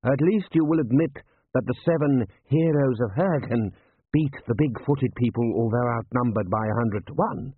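A man's voice: mid-range voice, normal speed, husky, dry recording, pitched above the performer's own voice, close to an everyday voice. A man voicing a pompous, blustering aristocratic army commander with haughty, repetitive speech in an audiobook. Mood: indignant, insistent. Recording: thin, band-limited, phone-like sound.

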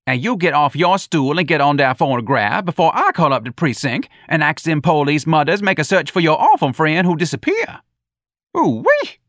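Streetwise male janitor, high swooping voice, sly, fed up, slangy, whooping, audiobook character. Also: sing-song, moderate pace, fairly bright tone, dry recording, studio quality. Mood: taunting.

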